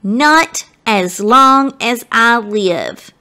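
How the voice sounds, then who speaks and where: A woman's voice: a little high, expressive, very slow, bright, forceful tone, exaggerated voice. A woman voicing a plainspoken young frontier boy in a storybook, with a folksy, sincere manner. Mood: grateful, sincere. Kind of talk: storybook character voice.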